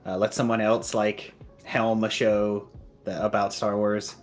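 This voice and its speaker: A man's voice: fairly low pitch, flat delivery, measured pace, faint music behind. A man talking casually as a panelist in a fan discussion podcast.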